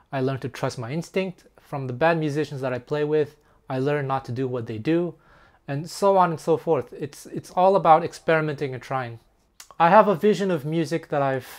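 A middle-aged man who grew up speaking Taiwanese, a music teacher talking casually to camera in an earnest, reflective, slightly halting way. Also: a little high. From French-speaking Canada. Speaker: guitar teacher. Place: small room indoors.

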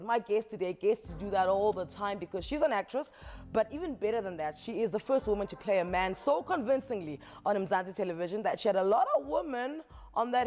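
A woman in her thirties hosting a television talk show, chatty and playful, speaking on a lapel mic in a studio. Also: fairly high pitch. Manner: playful, chatty, quick laughs. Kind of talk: casual studio interview. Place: tv studio set.

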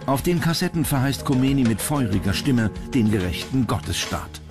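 Male documentary narrator, medium pitch, clear music bed. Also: lively intonation, normal speed. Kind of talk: scripted narration. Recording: music under the voice. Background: background music.

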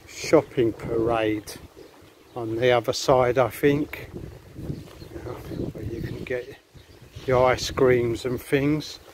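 A man chatting casually to viewers as he walks along a beach and past hotels, recorded on a handheld camera outdoors. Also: medium pitch. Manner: rambling, chatty, addresses viewers. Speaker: vlogger. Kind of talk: casual walking vlog. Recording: faint outdoor noise.

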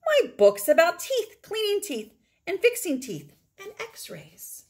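A woman voicing an excited little girl in a children's storybook, with halting, eager exclamations. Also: very high-pitched, pitched above the performer's own voice, full character voice. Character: excited little girl who loves teeth.

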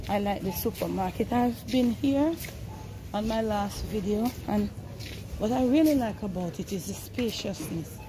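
Chatty Jamaican woman vlogging on the road. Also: mid-range voice. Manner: chatty, running commentary with Patois phrases. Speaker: family vlogger. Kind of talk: casual vlog narration. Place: in a car, then a large supermarket. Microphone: handheld phone camera. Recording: phone recording with road and store noise.

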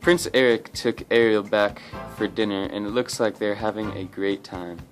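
A man's voice: steady tone, normal speed, faint music behind. A man narrating an amateur puppet show in a casual, slangy storytelling style. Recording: faint noise and rumble, amateur recording.

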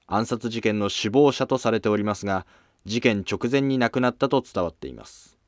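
Low-voiced man narrating history. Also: animated, moderate pace, no room sound, clean studio sound. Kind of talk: scripted narration.

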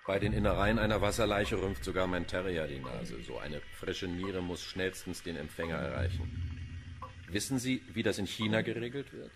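An actor in a radio crime drama playing a macabre forensic pathologist, dry, pedantic and sardonic. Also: male voice, low-pitched, moderate pace, natural voice. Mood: dryly macabre. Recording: music behind the voice.

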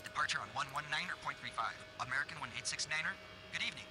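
A man's voice: a little high, racing, music in the background, rough recording. A man voicing a businesslike airline pilot in a simulator game, giving clipped procedural readbacks over aircraft radio. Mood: brisk, procedural.

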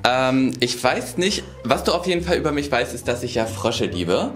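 A man's voice: medium pitch, expressive, moderate pace. A young adult man casually telling a story to camera in an indoor room. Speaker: YouTube presenter. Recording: roomy echo. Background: background music.

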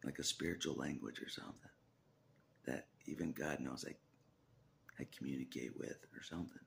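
A man's voice: very deep voice, a little fast, vocal fry, a bit bright, a little hiss or hum. A man in his forties rambling in a personal vlog, with hesitant restarts, repeated phrases and half-whispered asides, filmed on a phone at arm's length in a small room. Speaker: religious vlogger.

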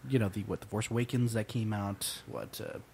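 A man's voice: lowish voice, steady tone, a little fast, creaky, darkish tone, a little hiss or hum. A young man co-hosting a casual podcast, rambling and swearing with lots of fillers. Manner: rambling, profane, filler-heavy. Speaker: podcast co-host. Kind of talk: casual chat.

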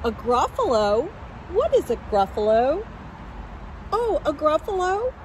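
Storybook mouse, clever and cheeky, read by a woman, high lively voice. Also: unhurried, a little hiss or hum, rough recording. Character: clever little brown mouse.

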